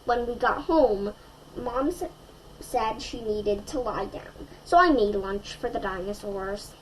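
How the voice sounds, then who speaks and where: A woman storyteller reading a children's picture book aloud in an expressive way, addressing young listeners. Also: on the high side, slow pace, slight background noise, poor audio.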